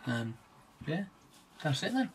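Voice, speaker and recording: Jokey man with lively pitch. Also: slow, a little hiss or hum. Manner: jokey, banters with his wife. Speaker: vlog co-host. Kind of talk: casual chat. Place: outdoors by a canal, then inside a narrowboat.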